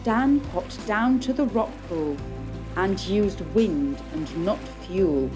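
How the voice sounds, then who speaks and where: A woman's voice: mid-range voice, expressive, takes it slowly, music in the background. A woman narrating a puppet show as a storyteller in scripted rhyming verse.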